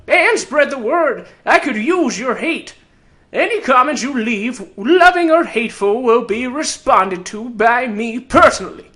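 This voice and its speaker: A man voicing a tiny, old, sarcastic treasure-hunting fantasy game creature with a weird character voice, cocky, teasing and folksy in his pitch as an announcer. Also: bright, forceful tone, exaggerated voice. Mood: cheeky, upbeat. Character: tiny old sarcastic male keen-folk treasure hunter.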